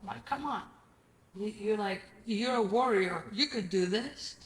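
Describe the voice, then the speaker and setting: A woman from Greece, Greek her first language, telling her story as an interview guest with lively acted-out dialogue. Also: mid-range voice, normal speed. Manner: animated storyteller, acts out quoted dialogue, frequent "I'm like". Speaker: guest, real estate investor. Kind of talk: casual interview chat. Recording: echoey room with faint ambience.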